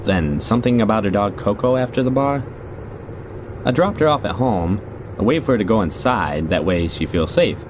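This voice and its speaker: Man playing low-voiced, animated, jaded rideshare driver. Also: moderate pace, lightly acted. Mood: uneasy, confiding. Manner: conversational, wry, confiding. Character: jaded male rideshare driver telling creepy stories. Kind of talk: first-person scripted audio drama narration. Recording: noisy, car and street ambience behind.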